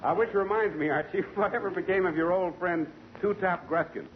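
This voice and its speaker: A man's voice: sits high, brisk, very noisy. A man playing a tavern customer in a 1940s radio comedy, easily amused. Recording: muffled 1940 radio recording with laughing audience.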